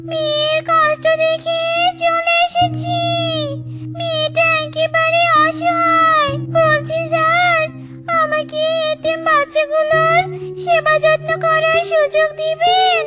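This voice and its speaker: Cartoon ghost mother, played by a woman: extremely high voice, dramatic, vengeful yet tender, loud music behind. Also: little pitch movement, takes it slowly. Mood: tender, pleading. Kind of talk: children's cartoon voice acting.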